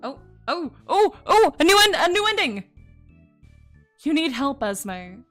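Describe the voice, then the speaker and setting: A woman streamer reading a visual novel aloud and reacting to it in an excitable, giggly way. Her voice sits a little high and she takes her time. Soft game music runs quietly behind her. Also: a little slow. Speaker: VTuber streamer.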